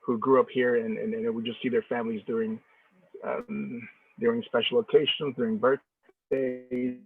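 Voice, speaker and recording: A man's voice: mid-range voice, level tone, moderate pace, breathy edge, no echo. A man born in the Philippines, a guest in a casual video call chat, who talks in many restarts and repeated words. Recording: tinny phone-like dry sound.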